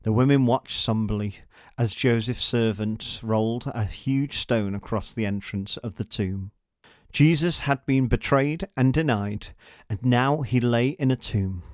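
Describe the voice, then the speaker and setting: A man narrates a read-along story for children. His mid-pitched voice is measured and dramatic, recorded very dry and close with little room sound. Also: darkish tone, dry recording.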